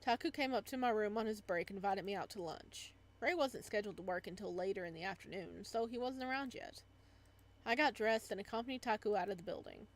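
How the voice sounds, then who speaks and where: A woman's voice: mid-range voice, quick pace. A woman doing a casual let's play, reading story text aloud with side comments and sometimes stumbling over words. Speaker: gamer reading a visual novel aloud.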